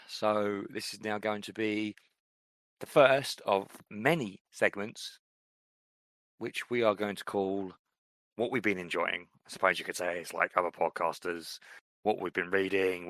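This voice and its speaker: Male podcast co-host, deep voice, dry close mic, thoughtful and meandering. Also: fairly bright tone, dry recording. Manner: thoughtful, rambling with fillers and restarts. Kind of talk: casual chat about books and fiction.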